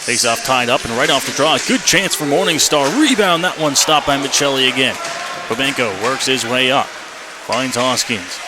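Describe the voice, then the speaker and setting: Over the steady noise of a live arena feed, a man commentates ice hockey for radio. His voice sits at a medium pitch. He follows the play in clipped, continuous phrases, in the manner of a play-by-play announcer. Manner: clipped running phrases, rising to excited calls on shots.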